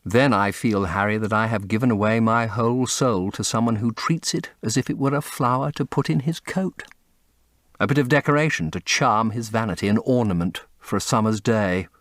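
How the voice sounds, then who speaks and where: A man's voice: fairly low pitch, moderate pace, dry recording, studio quality, lightly acted. A man voicing an earnest, intense painter who speaks in an impassioned, confessional way, as dramatic audiobook acting. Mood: wistful, pained. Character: earnest, intense male painter, devoted and anguished.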